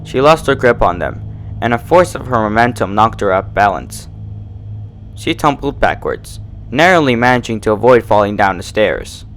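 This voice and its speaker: A man's voice: on the low side, moderate pace, slight background noise, low-quality recording. A man narrating a story in a dramatic, scripted reading style. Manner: animated, dramatic.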